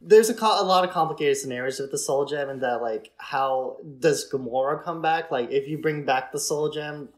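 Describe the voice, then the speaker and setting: A man's voice: a little high, normal speed, few pauses. A man co-hosting a podcast, talking in a casual, conversational and hedging way about films. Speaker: podcast co-host. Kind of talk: casual chat, film discussion.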